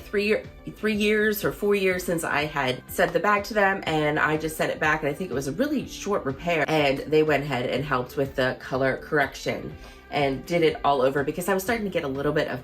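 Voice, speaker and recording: A woman in her thirties, a fashion vlogger, chatting in a casual, enthusiastic way, her voice a little hoarse as she gets over losing it. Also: lowish voice, fairly bright tone. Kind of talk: casual product review vlog.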